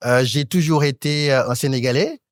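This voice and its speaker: Man, 30s, academic panelist, thoughtful pauses, mid-range voice, dry sound. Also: mid-range voice, no room sound. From Senegal. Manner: articulate and academic, pausing with hesitant fillers. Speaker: talk show panelist. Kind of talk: panel discussion.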